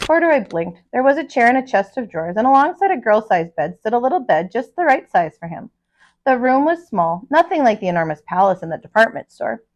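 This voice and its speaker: Animated woman reading a children's story, highish voice. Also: brisk, lo-fi sound. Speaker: teacher and storyteller. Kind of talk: expressive read-aloud of a children's picture book.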